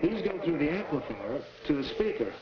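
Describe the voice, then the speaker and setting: Young American male patient science explainer, highish pitch, vintage 1940s classroom-film delivery, noisy track. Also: big pitch swings, normal speed, highs cut off. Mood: patient, instructive. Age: young adult. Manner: didactic, clearly explaining. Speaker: educational film actor. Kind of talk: 1940s instructional film acting.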